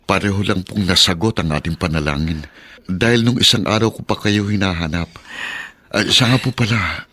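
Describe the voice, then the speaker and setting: Honest Filipino taxi driver, deep husky voice, respectful, earnest, radio play. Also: male voice, moderate pace, pushed and bright, heavily acted. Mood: earnest, curious. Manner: earnest, polite, deferential. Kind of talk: radio drama acting.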